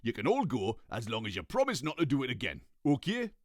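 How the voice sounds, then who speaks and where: A man's voice: medium pitch, wildly swooping pitch, brisk, no room sound, clean studio sound, voice pushed up. A man voicing an officious but fickle male cat who commands an army in a children's storybook.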